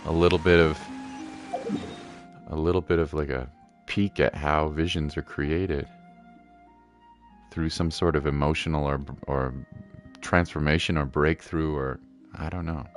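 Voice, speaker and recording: A man streaming a game, giving casual, rambling commentary and thinking out loud in a chilled way. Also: rumbling low, steady tone, a little slow, pauses often. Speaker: gaming streamer. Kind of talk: casual live game commentary, musing aloud. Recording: game music behind the voice.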